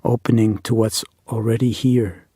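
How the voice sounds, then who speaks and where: A man leading a guided meditation as a teacher, speaking in measured, deliberate phrases with reflective pauses. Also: lowish voice, level tone, mellow, husky, no room sound, clean studio sound.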